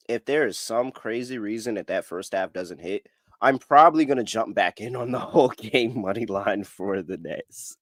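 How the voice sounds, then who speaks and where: A man's voice: fairly low pitch, no room sound, studio quality. A man hosting a sports betting podcast, talking in a casual, slangy way. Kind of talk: casual chat, ad reads and betting picks.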